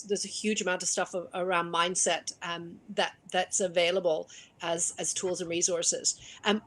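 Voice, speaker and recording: A woman coach speaking in an interview over a video call, animated and laughing, with many restarts. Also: on the low side. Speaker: business coach. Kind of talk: interview conversation.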